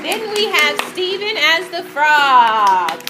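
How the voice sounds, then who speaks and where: High-voiced woman narrator, slow and echoey. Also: sing-song, very noisy. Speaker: narrator and presenter. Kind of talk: read-aloud stage narration. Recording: echoey room with music over it.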